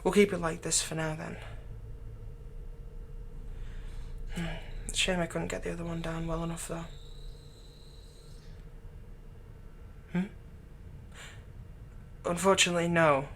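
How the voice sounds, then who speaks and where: A man playing a doting, slyly manipulative villain captor in an intimate ASMR roleplay, speaking gently and coaxingly with unhurried pauses. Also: on the high side, flat delivery, vocal fry, a little hiss or hum. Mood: tender, flattering.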